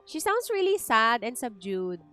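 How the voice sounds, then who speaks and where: A woman game streamer with a Filipino accent and a fairly high voice. She comments casually as she plays, slipping between two languages, with music audible behind her. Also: big pitch swings, a little slow, sharp tone. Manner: code-switches between Tagalog and English.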